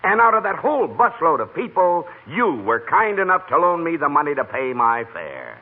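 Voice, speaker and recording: A man playing a courteous, earnest gentleman visitor with formal, flowery politeness in an old radio comedy. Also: fairly high pitch, huge pitch swings, moderate pace. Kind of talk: 1940s radio comedy acting. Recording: tinny old-time radio recording.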